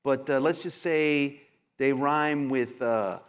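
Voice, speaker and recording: A man's voice: medium pitch, lightly acted. A man playing an evasive, hedging go-between who runs errands for a vampire prince in a live roleplaying game. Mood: cagey. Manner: hedging, sly, halting with many trailing qualifiers. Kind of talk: improvised tabletop roleplay before a live audience.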